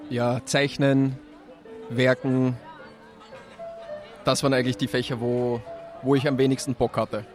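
A man's voice: medium pitch, little pitch movement, a little slow, long pauses, soft background music. A man, a teacher answering interview questions outdoors at a street festival in a friendly, candid way.

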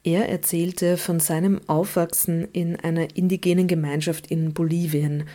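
A woman hosting a radio show, reading calm, measured announcements. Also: fairly low pitch. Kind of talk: scripted radio narration.

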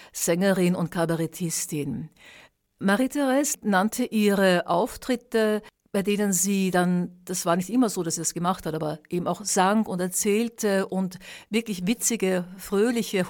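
A woman hosting a radio tribute speaks in a somewhat low voice. She is earnest, piles up descriptions one after another, and often restarts a phrase midway. Her delivery stays that of a spoken presentation. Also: a little mellow. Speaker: radio host.

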